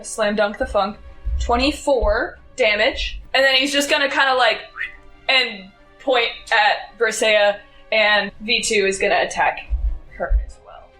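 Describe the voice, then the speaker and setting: A woman's voice: on the high side, measured pace, pushed and bright. A woman playing a tabletop game, giggly and playful. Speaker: player. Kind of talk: casual tabletop play. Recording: echoey room, faint music.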